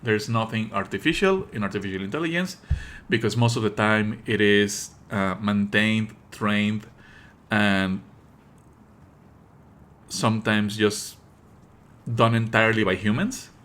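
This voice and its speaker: A man streaming live commentary, explaining in an opinionated, pedantic way with halting delivery and emphatic stresses. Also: fairly low pitch, a bit bright. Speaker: streamer and host.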